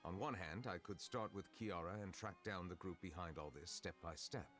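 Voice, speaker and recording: An actor playing a calm, formal, centuries-old judge in a video game, speaking in measured, precise sentences. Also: male voice, low voice. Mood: composed. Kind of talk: scripted video game dialogue. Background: game music.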